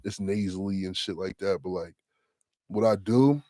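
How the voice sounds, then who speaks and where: A young man in his twenties, a rapper with a deep voice, talking casually and loosely in an interview. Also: mellow, no echo, studio quality. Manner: laid-back, slangy, drawn-out affirmations. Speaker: rapper, guest.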